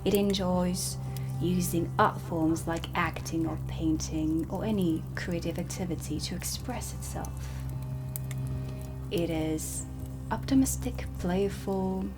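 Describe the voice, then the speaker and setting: A woman playing a stern, dry dark witch teacher in a soft-spoken ASMR roleplay, deliberate and often slipping toward a whisper. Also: lowish voice. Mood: calm, instructive. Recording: close voice under prominent ambient music. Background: ambient music.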